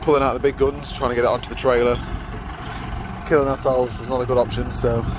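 A man's voice: mid-range voice, flat delivery, fast, noisy background. A young man vlogging casually from his workshop yard, rambling with many fillers and restarts. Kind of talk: casual vlog narration.

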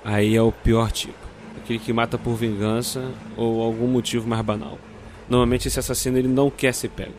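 An actor playing a composed, wry police detective in an audio drama. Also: male voice, mid-range voice, little pitch movement, brisk, a little mellow, a little hiss or hum, natural voice. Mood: grave, explanatory. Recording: music laid under the voice.

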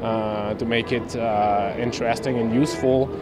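A man's voice: mid-range voice, steady tone, normal speed, loud music behind the voice. A man representing a manufacturer, explaining details in a casual interview with frequent restarts. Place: indoor trade show hall.

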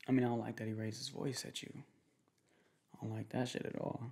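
A young man speaking in a casual, slangy, halting way in an ASMR boyfriend roleplay. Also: fairly low pitch, flat delivery, vocal fry, darkish tone. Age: young adult. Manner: slangy, laid-back, halting with repeated phrases. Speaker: ASMR creator playing a protective boyfriend. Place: small home room, indoors.

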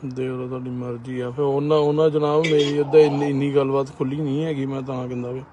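A man's voice: medium pitch, level tone, fast talker, lo-fi sound. A young man from Punjab, speaking in an amateur recording. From Punjab, India. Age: young adult. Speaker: complainant. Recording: roomy echo, noisy amateur recording.

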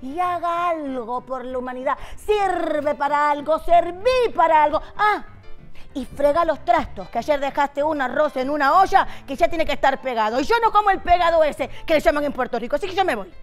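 A woman doing a shrill, high-pitched older wife from a TV comedy sketch, bossy and scolding, her voseo-laced sharpening every complaint. She talks fast and pushy over faint music in the background. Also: natural voice. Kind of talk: sitcom sketch acting. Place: TV studio set, indoors. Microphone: lapel mic.

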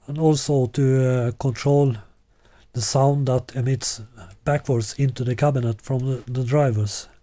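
Slow, halting older man, mellow voice. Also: mid-range voice, no echo. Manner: halting, deliberate, with long hesitations. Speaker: loudspeaker designer presenting a product. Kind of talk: unscripted product explanation. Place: small living room, indoors.

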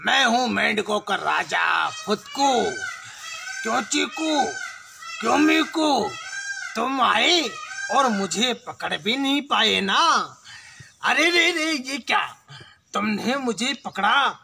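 A male folk performer playing a boastful, jolly frog king, loud and laughing, outdoors. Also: very high voice, sing-song, background noise, exaggerated voice. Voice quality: gravelly, laughing often. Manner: loud, playful, drawn-out bragging. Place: outdoors among trees.

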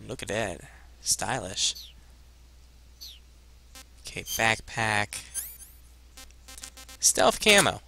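A young man giving casual, halting gameplay commentary as a let's play host, rambling and muttering to himself into a headset. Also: fairly low pitch, vocal fry, sharp tone. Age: young adult.

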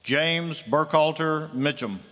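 A man reading graduates' names at a commencement in a slow, measured, ceremonial manner at a podium microphone. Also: fairly high pitch, fairly bright tone. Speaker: commencement name reader. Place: large auditorium with long reverb. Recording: long hall reverb.

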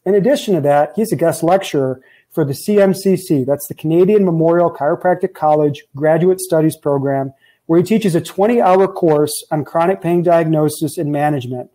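A man's voice: a little high, fairly quick, dark tone, low-quality recording. Upbeat man hosting on a video call. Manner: upbeat, jokey, reads intro lists. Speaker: podcast host, chiropractor. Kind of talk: casual interview chat. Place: office with framed diplomas, indoors. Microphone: earbud headset on a video call.

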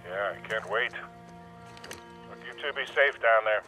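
A man playing a gruff, affectionate older pilot in a cinematic game, heard through a radio filter. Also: unhurried, bright, forceful tone, faint music behind. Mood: alarmed, gruff. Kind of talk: naturalistic cinematic game acting. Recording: muffled radio link, vehicle rumble.

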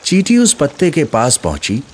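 A man narrating a scripted children's moral story in an expressive storytelling manner. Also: a little high, moderate pace, a little hiss or hum. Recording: music under the voice.

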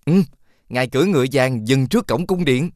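A man voicing a clever, helpful talking fox in an animated fairy tale, coaxing and explaining. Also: medium pitch, brisk, no echo, studio quality, natural voice. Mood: scheming, reassuring.

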